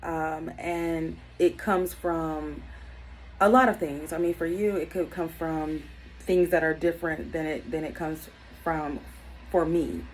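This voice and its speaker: A woman's voice: low-pitched, fairly even intonation, a little slow, soft background music, low-quality recording. Earnest woman in her thirties, reflective. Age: 30s. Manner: earnest, reflective, many ums and restarts. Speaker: YouTube self-help vlogger. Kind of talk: unscripted personal talk to camera.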